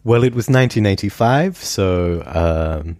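A man, a historian answering interview questions in a thoughtful, measured way with many pauses. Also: low-pitched, lively intonation, a little mellow, dry recording, clean studio sound. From Australia. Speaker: historian guest.

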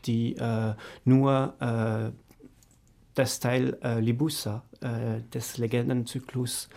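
Slow, halting man from France, dark gravelly voice. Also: medium pitch. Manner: halting, many fillers and restarts. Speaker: interview guest, doctoral researcher. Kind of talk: academic interview.

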